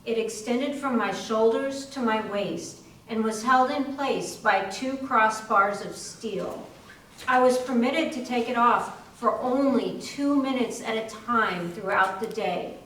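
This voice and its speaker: A woman playing a suffering young factory worker recounting her illness in a measured readers theater monologue. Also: fairly high pitch, fairly bright tone. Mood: somber, pained. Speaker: community theatre actor. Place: room at a live library reading. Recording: echoey room, distant mic, faint noise.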